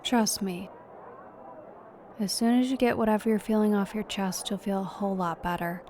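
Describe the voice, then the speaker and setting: An actress playing a candid, supportive friend in an audio drama, with a frank, conversational delivery. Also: female voice, mid-range voice, normal speed, darkish tone, slight background noise, lightly acted. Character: candid, supportive female friend working in law enforcement.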